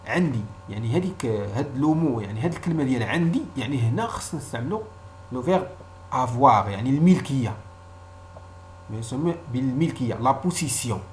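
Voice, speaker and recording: A Moroccan man with a lively, widely moving pitch teaches an informal language lesson. As a native Arabic speaker, he explains in a didactic way and often repeats short example phrases. A faint hum of noise sits behind his voice.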